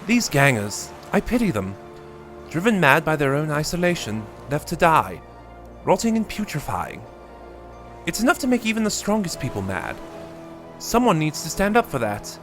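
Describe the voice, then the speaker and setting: A man's voice: huge pitch swings, moderate pace, fairly bright tone, faint music behind. A man reading aloud, voicing a grieving young man with an earnest, raised voice. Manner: earnest, pitched well above the narration.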